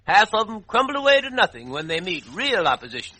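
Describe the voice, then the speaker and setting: A male actor playing a wise, kindly talking fish with a hoarse throat and quaint nautical speech, in an old children's radio drama. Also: high-pitched, sing-song, fast, bright, forceful tone, exaggerated voice. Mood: earnest advice. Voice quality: hoarse, foghorn-like sore throat. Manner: avuncular, quaint nautical exclamations.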